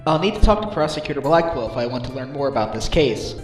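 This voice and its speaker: A man's voice: mid-range voice, fast, soft background music, natural voice. A man in a game fan dub playing a good-natured defense lawyer with a wry, casual manner. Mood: wry, musing.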